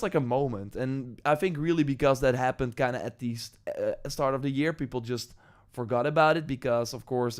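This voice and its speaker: Man, Dutch accent, live-stream host, casual chat, rambling delivery, average pitch. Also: clean tone. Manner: rambling, loose, many ums and likes.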